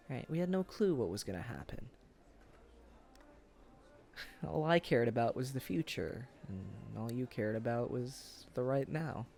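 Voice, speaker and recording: A man playing a tipsy, earnest young man wooing his old sweetheart in a boyfriend roleplay, speaking casually and haltingly. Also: medium pitch, vocal fry, darkish tone. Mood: earnest, wistful. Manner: halting, tender, casual, often chuckling. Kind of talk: boyfriend ASMR roleplay acting.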